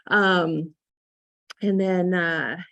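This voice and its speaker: A woman in her forties, a ski development director speaking on a conference panel, talking in a halting way with many fillers and repeated words. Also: fairly low pitch, steady tone, gravelly, fairly bright tone, no echo, muffled recording.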